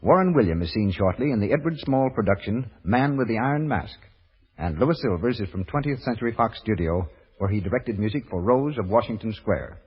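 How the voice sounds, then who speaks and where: A man's voice: on the low side, expressive, husky. An American man announcing formally from a script in 1930s network radio style. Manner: formal, measured presentation. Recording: muffled 1930s radio broadcast with long reverb.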